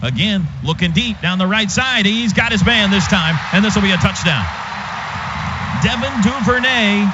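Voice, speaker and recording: A man calling a live football game as a radio play-by-play announcer, in clipped, rhythmic phrases. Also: sits high. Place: outdoor stadium radio booth. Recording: muffled radio feed, loud stadium noise.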